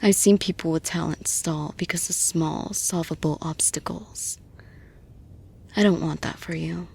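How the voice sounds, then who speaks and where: A caring female boss played by a woman in an intimate audio roleplay, persuading gently. Her voice is soft, rather low and very breathy, often slipping into a whisper. She speaks very slowly and deliberately, with steady reassurance. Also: exaggerated voice. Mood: encouraging, reassuring.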